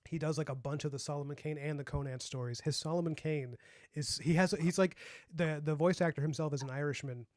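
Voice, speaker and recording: Young guy streaming, light boyish voice, kinda high, super dry close sound, rambles a lot. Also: fairly even intonation, a little fast, dry recording. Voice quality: light youthful voice. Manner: rambling, chatty, many fillers and restarts. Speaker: streamer and comic artist. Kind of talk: casual chat on a livestream.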